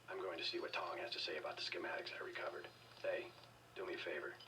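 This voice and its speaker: An actor playing a stoic, terse, deadpan male government agent in a video game, on a noisy distant capture. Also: a bass voice, fast talker.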